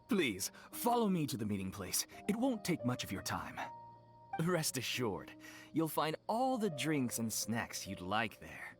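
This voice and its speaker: A lively, courteous event organizer. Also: female voice, a bass voice, slow, full character voice. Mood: eager, persuasive. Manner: courteous, coaxing, formal. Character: polite, cheerful adult woman organizer, persuasive, eager host. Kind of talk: video game voice acting. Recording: music behind the voice.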